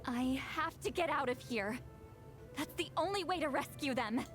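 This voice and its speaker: A woman's voice: sits high, lively intonation, normal speed, a bit bright, faint music behind. A woman playing a proud, lonely, determined centuries-old shaman in a video game.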